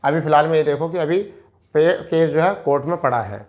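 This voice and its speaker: Higher-pitched Indian man explaining step by step. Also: a little fast, a little mellow. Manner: repetitive, asks and answers own questions. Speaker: educational video presenter. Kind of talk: informal explainer reading out a document from screen.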